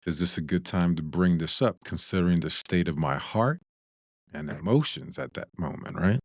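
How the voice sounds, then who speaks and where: A man with a deep voice, co-hosting a casual advice discussion in a reflective way, often posing rhetorical questions. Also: dry recording. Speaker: podcast co-host.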